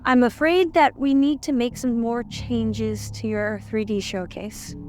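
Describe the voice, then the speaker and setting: A woman's voice: on the high side, fairly even intonation, measured pace, a little mellow, soft background music, natural voice. A woman in an audio drama playing an anxious, deferential talent manager who speaks hesitantly. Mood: hesitant, grave. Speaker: voice actor in fan audio drama.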